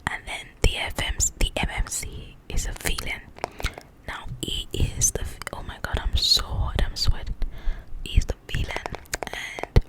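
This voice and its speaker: A woman speaking in a breathy, unvoiced whisper as an ASMR host, chatting informally and directly to her viewers in a rambling, casual way. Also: normal speed, rough recording.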